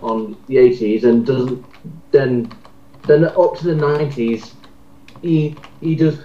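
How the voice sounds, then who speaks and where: A man chatting casually in a group discussion, haltingly searching for his words. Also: mid-range voice. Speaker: discussion participant. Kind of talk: casual chat. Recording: muffled echoey voice chat.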